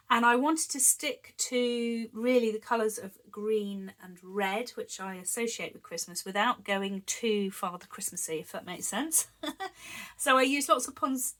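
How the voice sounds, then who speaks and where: A woman's voice: fairly high pitch, fairly bright tone. A woman in her fifties, slightly wheezy from a chest infection, chatting casually and giggling as she shows her planners. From United Kingdom. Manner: chatty, giggly, with many ums. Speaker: planner vlogger. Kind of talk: casual show-and-tell chat.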